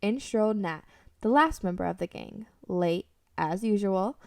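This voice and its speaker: A woman's voice: medium pitch, animated, measured pace, vocal fry, darkish tone, dry recording, studio quality, far from the mic. A woman reading scripted story narration for a radio drama.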